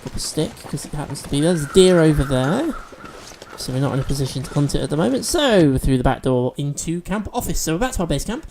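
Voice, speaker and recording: Gaming host, male, mellow tone, sniffly, chatty walkthrough delivery. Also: fairly high pitch, animated, quick pace, a little hiss or hum. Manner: chatty, explains game mechanics as he plays. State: sniffly from allergies. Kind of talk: let's-play commentary.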